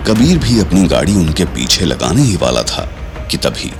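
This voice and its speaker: A man's voice: low voice, sing-song, moderate pace. A man narrating a scripted audio drama in a suspenseful storytelling style, with dramatic delivery. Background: music.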